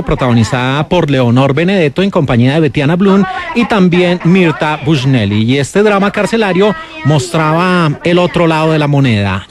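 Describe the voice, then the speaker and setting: Higher-pitched Colombian male film critic. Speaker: radio film critic and host. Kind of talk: film review countdown on radio.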